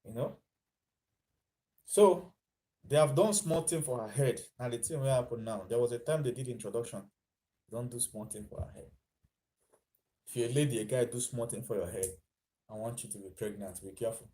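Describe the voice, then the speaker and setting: Chatty Nigerian man with a mellow voice. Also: mid-range voice, moderate pace, long pauses, slight background noise. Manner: chatty, mixes in Pidgin, laughs at his own lines. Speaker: vlogger. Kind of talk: casual commentary vlog.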